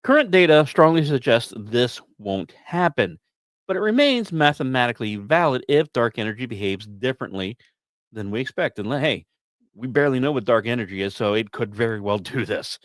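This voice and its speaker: A man presents science news as a podcast host, reading from a script but slipping into casual, wry asides. His mid-pitched voice swings widely with theatrical emphasis. The sound is dry, with very little room in it. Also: animated, a little fast, dry recording. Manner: wry, self-deprecating humor.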